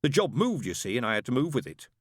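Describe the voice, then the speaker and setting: A man's voice: mid-range voice, sing-song, fast, no echo, clean studio sound, voice pushed up. A male audiobook reader voicing a tired, mild-mannered middle-aged accountant who talks in a polite, hesitant way.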